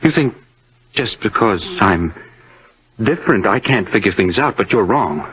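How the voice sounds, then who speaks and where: Menacing killer on the run, very low male voice, brooding, boastful, halting, old radio suspense, muffled recording. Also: lively intonation, husky, exaggerated voice. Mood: menacing, touchy. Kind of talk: 1950s radio suspense acting.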